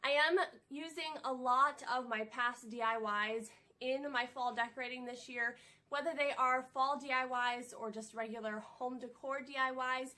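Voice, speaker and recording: A woman's voice: sits high, steady tone, normal speed, pushed and bright, no echo. A young woman with a head cold, chatting in a friendly, upbeat way as she narrates a casual home decor how-to vlog from home.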